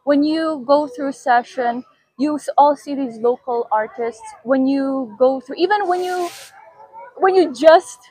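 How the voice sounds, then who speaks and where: A woman's voice: sits high, measured pace, darkish tone, dry recording, low-quality recording. A young adult woman from the Philippines giving casual, chatty and reflective answers as an interview guest.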